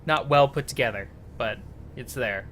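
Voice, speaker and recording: A man streams a game over faint background noise. His mid-pitched voice rambles casually, muttering and hesitating. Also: normal speed, a bit bright. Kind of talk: casual rambling chat while playing.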